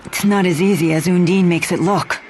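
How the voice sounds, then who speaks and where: A woman's voice: fairly low pitch, steady tone, a little fast, darkish tone, a little hiss or hum, close to an everyday voice. A woman voicing a proud, composed young warrior with otherworldly powers in a fantasy game dub, formal and resolute.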